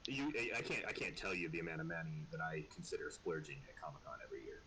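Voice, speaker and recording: A man chatting casually as game master of an online tabletop game, often halting and repeating words. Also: a bass voice, lively intonation, a crowd in the background, poor audio.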